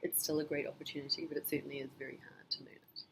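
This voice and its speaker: A middle-aged woman from New Zealand, answering her child's interview questions in a thoughtful, measured way with frequent pauses and ums. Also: low-pitched, flat delivery, vocal fry, background noise. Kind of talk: casual family interview.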